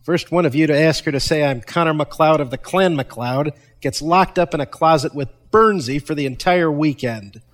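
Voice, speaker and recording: A man acting as the brisk boss of an audio drama crew in a scripted comedy skit. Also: on the high side, lightly acted. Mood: brisk, wry. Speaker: podcast crew member playing himself.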